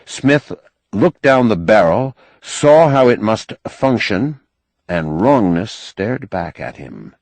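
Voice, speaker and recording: A man reading a novel aloud as an audiobook narrator, in an expressive, measured storytelling manner with careful phrasing. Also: on the low side, creaky, no room sound. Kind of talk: scripted narration of a science fiction novel.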